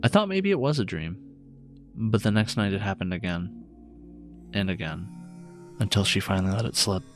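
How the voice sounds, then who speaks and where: A man acts as an eerie male storyteller in a horror audio drama, confiding strange tales. His voice is fairly low. Music plays clearly behind him. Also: expressive, normal speed. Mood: ominous, hushed unease. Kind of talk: audio horror anthology acting.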